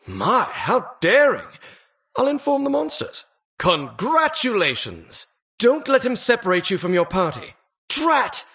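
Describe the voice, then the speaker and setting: A man's voice: extremely high pitch, huge pitch swings, unhurried, fairly bright tone, slightly rough, full character voice. A man voicing a talking dungeon as a pompous, mock-polite, sardonic butler-like host in a video game. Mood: gleefully sardonic. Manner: pompous, mock-polite, arch formal phrasing. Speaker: game announcer. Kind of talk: theatrical video game voice-over.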